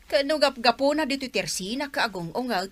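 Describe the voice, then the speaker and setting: A woman's voice: sits high, animated, a little fast, slight background noise. A woman with an Ilocano Filipino accent playing a questioning, argumentative village neighbour in a comedy skit. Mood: insistent. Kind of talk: amateur comedy skit acting.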